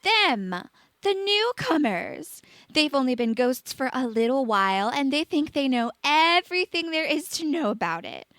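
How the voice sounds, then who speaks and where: A woman's voice: high voice, very animated, measured pace, fairly bright tone, no echo, voice pushed up, exaggerated voice. A woman voicing a self-pitying, melodramatic teenage girl ghost in a storybook reading, theatrical and sulky. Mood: indignant.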